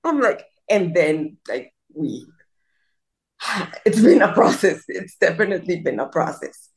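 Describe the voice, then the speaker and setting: A woman's voice: fairly low pitch, very animated, measured pace, vocal fry, a little mellow. A middle-aged woman from Colombia, telling her story in a casual interview and rambling with many restarts and self-deprecating asides, over a video call. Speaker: guest.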